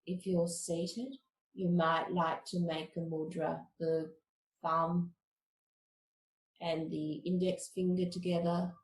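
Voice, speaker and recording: A woman teaching meditation, giving guided relaxation instructions in a calm, measured, soothing manner. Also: lowish voice, echoey room, a little hiss or hum, poor audio.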